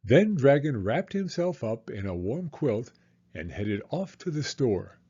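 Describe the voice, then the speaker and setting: A man reading a storybook aloud to young children in a deliberate, expressive storytelling way. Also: mid-range voice, slightly rough, dull audio.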